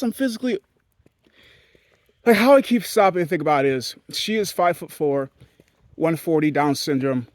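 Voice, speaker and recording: A man's voice: a little mellow, no room sound. A man from Haiti giving a blunt, profane, rambling monologue to his audience as a vlogger. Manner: blunt, profane and rambling, with sudden jumps in pitch. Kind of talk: candid ranting monologue.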